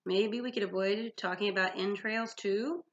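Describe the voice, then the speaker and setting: A woman's voice: medium pitch, fairly even intonation, moderate pace, natural voice. A woman voicing a timid, squeamish young male satyr in a fantasy storybook, speaking hesitantly. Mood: uneasy. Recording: dull lo-fi sound.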